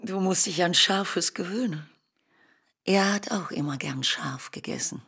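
A woman's voice: fairly low pitch, measured pace, a bit bright, full character voice. A woman playing an ailing, tender, clinging mother in a radio drama, often close to whispering. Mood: fond. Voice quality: breathy, often near whispering. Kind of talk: German radio drama acting.

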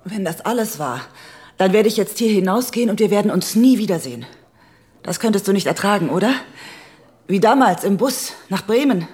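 A woman's voice: mid-range voice, expressive, fairly quick, a little mellow, husky. An actress playing a teasing, self-assured woman writer and manipulative lover in a German radio play, intimate and coaxing. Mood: defiant. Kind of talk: German radio drama dialogue. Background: music.

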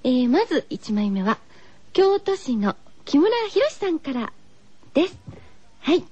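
High-pitched girlish woman radio host. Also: sing-song, measured pace, no echo, lo-fi sound. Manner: gentle, girlish musing with rhetorical questions to listeners. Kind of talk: chatty solo radio talk, reading listener postcards.

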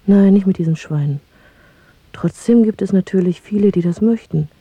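A young female rebel in a dry radio drama recording. Her voice is very soft-toned and heavily breathy, often near whispering. She is intimate and frank, with a defiant, pragmatic streak. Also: mid-range voice, normal speed, dry recording, lo-fi sound. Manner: intimate, direct. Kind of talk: German radio drama acting.

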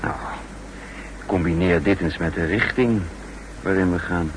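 An actor playing a cool, resourceful captured spy in a radio drama, calmly and wryly explaining things. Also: male voice, low-pitched, measured pace. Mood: grim, composed. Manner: calm, wry, explaining patiently. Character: cool, resourceful captured male spy narrating his story. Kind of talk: 1960s Dutch radio drama acting. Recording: noisy 1960s radio drama recording.

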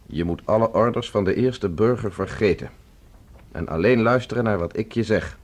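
A man acting a resolute fugitive professor in a sci-fi radio drama. He speaks low and a little husky, in clipped, commanding phrases that expect to be obeyed. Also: moderate pace, close to an everyday voice. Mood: firm, commanding. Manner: terse, imperative, gives orders. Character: commanding escaped professor, prisoner on Mars, urgent and resolute. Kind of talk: Dutch science fiction radio play acting.

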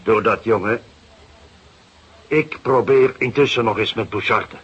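An actor playing a sceptical, patient older police detective in a radio drama, with a measured, musing delivery. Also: male voice, fairly low pitch, dull audio, lightly acted. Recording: noisy radio drama recording.

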